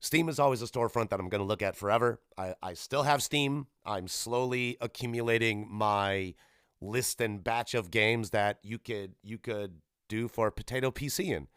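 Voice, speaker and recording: A man's voice: on the low side, moderate pace, a bit bright, clear, resonant tone, dry recording, studio quality. A man hosting a solo gaming podcast, giving casual commentary in a rambling, irreverent, profane way. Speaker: podcast host.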